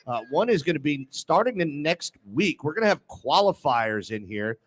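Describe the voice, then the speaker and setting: A man's voice: fairly high pitch, very animated, normal speed. A man hosting a live sports radio show with casual, teasing banter. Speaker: sports talk radio host.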